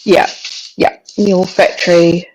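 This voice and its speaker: A woman's voice: on the low side, flat delivery, unhurried, a little mellow, breathy edge, poor audio. A woman co-hosting a casual livestream chat who mostly gives short, agreeable replies. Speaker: livestream co-host.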